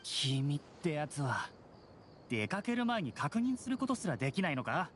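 An actor playing a fussy, articulate, condescending young engineer in an anime game. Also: male voice, fairly high pitch, very animated, moderate pace, a bit bright, slight background noise. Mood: sarcastic, irritated. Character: fussy, sarcastic young bespectacled engineer brother.

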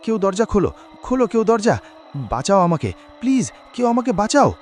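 A man's voice: sits high, sing-song, brisk, faint music behind. A man playing an overwrought, pleading young man haunted by his dead lover in a melodramatic horror cartoon. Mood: terrified.

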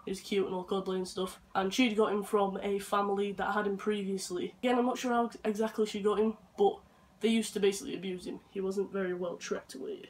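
A young man, hoarse from a flu, chatting casually in his bedroom and rambling softly to his dog. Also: high voice, level tone, fairly quick. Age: young adult. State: hoarse from flu and chest infection. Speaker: vlogger. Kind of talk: casual chat. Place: bedroom, indoors.

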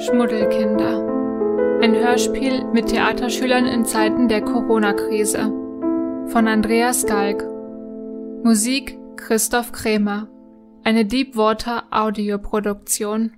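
Female announcer for radio play credits: measured, level delivery over loud piano. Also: a little high, level tone. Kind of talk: slow scripted title announcement. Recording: loud piano music behind.

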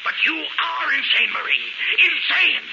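Shrieking, high-pitched old madman. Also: big pitch swings, measured pace, gravelly, exaggerated voice. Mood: menacing. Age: older. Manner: shouting, frantic, taunting. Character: crippled, ranting, diabolical old uncle accused of madness. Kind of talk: 1940s radio acting. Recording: noisy, dull 1940s radio recording.

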